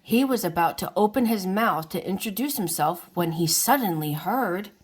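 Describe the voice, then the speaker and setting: A woman reading a children's storybook aloud in an unhurried way, as if to young listeners. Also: mid-range voice, animated, husky. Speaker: children's book reader. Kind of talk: read-aloud storybook narration.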